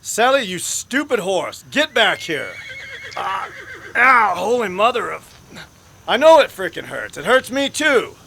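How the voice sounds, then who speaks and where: A man playing an exasperated, pained cowboy grumbling and yelling at his horse in a western radio drama. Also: high-pitched, sing-song, measured pace, creaky, slight background noise, full character voice.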